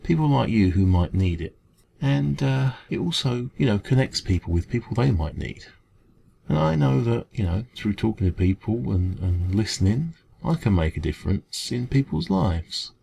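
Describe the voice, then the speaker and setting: A man with an English accent playing a kind café dragon in an ASMR roleplay, talking gently and reassuringly in a creaky voice. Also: fairly low pitch, big pitch swings, normal speed, slight background noise, exaggerated voice. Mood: encouraging, gentle. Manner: gentle, reassuring, hesitant conversational encouragement. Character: kind, caring male dragon who runs a café. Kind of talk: ASMR roleplay, comforting one-to-one talk.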